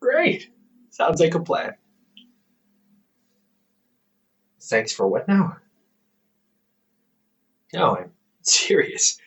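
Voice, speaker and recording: A man playing a teasing, reassuring older brother, a scholar in his thirties, in an intimate, casual audio roleplay with halting, conversational delivery. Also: on the high side, huge pitch swings, a bit bright, pitched above the performer's own voice, heavily acted. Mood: affectionate, upbeat. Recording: hobby microphone with faint background noise.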